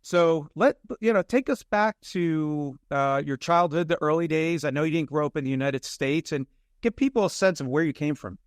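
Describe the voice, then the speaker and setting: A middle-aged man hosts a podcast with a slightly raised pitch, close to the mic in a dry, untreated sound. He is friendly and measured, filling his pauses with "uh" and "um". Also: dry recording. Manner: friendly, measured, with frequent fillers. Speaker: podcast host. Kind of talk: conversational interview hosting.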